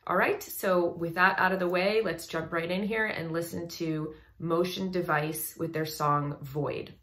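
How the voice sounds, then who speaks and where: A woman vocal coach with a low speaking voice, giving an analytical, enthusiastic commentary on a song in a reaction video. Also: fairly even intonation, a bit bright. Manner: analytical, enthusiastic, talks over paused song playback.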